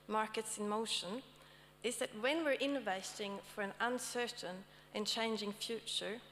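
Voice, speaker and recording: Swedish woman moderating a symposium panel, fairly high voice, hall echo with faint hum. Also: fairly bright tone. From Sweden. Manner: checks in with the audience often, with many fillers. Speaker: session moderator and academic speaker. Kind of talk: conference session introduction. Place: conference hall.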